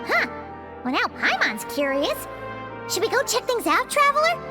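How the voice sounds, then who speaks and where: A tiny, bubbly fairy companion from an anime game. The voice is extremely high, squeaky and childlike, with excitable delivery. Music plays behind it. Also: female voice, moderate pace. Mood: curious. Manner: excitable, speaks of self in third person. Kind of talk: English anime game dub acting.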